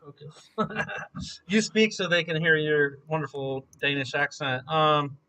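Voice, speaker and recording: A young man chatting casually and joking while he builds a map on stream, thinking out loud as he works. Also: on the high side, fairly even intonation, takes it slowly, low-quality recording. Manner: joking, laid-back, thinking aloud. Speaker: mapmaker streaming his work. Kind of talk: casual chat while working.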